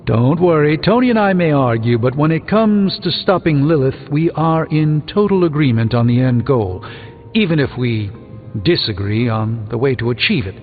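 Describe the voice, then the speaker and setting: An actor playing a proud, erudite sorcerer hero in a video game, speaking in an articulate, theatrical and pompous way. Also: male voice, mid-range voice, animated, normal speed, natural voice. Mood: wry, assured. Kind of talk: video game character dialogue. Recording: phone-like band-limited sound under music. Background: music.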